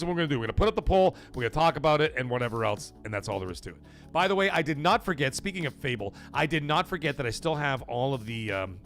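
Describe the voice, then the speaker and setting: A man's voice: on the high side, a bit bright. A man hosting a gaming livestream, rambling casually and answering viewers from the chat by name. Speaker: livestream gaming show host.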